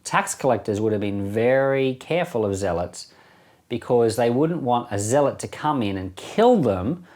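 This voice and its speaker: A man's voice: mid-range voice, expressive, moderate pace, darkish tone. Middle-aged man, informal Bible teacher. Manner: conversational, explanatory, repeats phrases for emphasis. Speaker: pastor and Bible podcast host.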